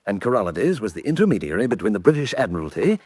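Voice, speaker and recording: A low-voiced, wry fugitive gentleman. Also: very animated, fast, slightly rough, dry recording. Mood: earnest, pressing. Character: wry, urgent gentleman on the run, wrongly wanted. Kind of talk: old-fashioned radio drama acting.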